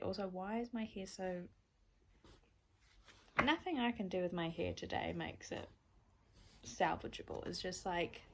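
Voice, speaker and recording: A woman's voice: fairly low pitch, a bit bright. A young woman with a New Zealand accent chatting casually in a reading vlog, rambling and self-deprecating. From born in Scotland, raised in New Zealand. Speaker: book vlogger.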